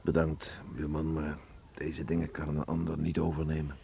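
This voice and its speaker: An actor in a Dutch radio play, playing a guilt-ridden, stubborn village father, speaking in a solemn, halting, heavy-hearted way. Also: very deep voice, monotone, creaky, dark tone, breathy edge, full character voice. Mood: broken guilt.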